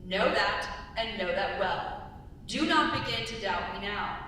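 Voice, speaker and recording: A woman's voice: animated, a little slow, pushed and bright. A woman acting a solemn, protective goddess in a stage reading. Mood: solemn, firm. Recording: far mic in echoey hall, rumble.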